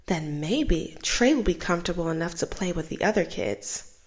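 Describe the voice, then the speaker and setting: Young woman storytime host, lively sing-song read-aloud, plenty of pitch movement. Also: moderate pace. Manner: expressive, animated storytelling. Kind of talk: children's book read-aloud.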